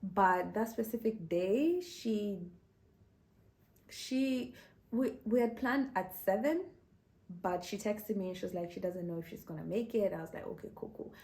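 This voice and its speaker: A young woman talks straight to camera in a loose, chatty way, leaning on "you know" and laughing between her words. Her pitch sits in the middle of the range and carries an easy conversational rhythm. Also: a little slow, mellow. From Kenya. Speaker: vlogger. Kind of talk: casual storytelling to camera.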